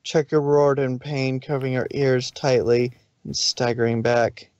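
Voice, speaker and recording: A man reading the narration of a fanfic aloud in a steady storytelling manner. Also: medium pitch, measured pace, no echo. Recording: dull, lo-fi stream audio.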